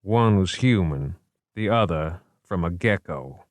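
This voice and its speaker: A man voicing a genteel, erudite male investigator in a measured, formal, wry manner, in an audiobook. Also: low voice, animated, no room sound. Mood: calm, wry.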